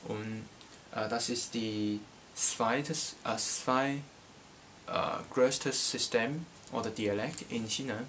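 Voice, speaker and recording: A man's voice: mid-range voice, steady tone, a bit bright, a little hiss or hum. A young man from Hong Kong, a native Cantonese speaker, giving a casual language lesson in a small bedroom, talking with many hesitations into a camera in front of him. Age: young adult. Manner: slow, halting, many hesitations. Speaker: language teacher. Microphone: camera in front at desk distance.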